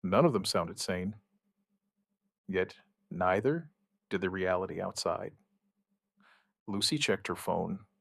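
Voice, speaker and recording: Low gravelly man narrating a horror story. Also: fairly bright tone, no echo, clean studio sound. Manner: grave, measured, in short clipped phrases with dramatic pauses. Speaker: first-person narrator of a survivor account. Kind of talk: scripted horror story narration in first person.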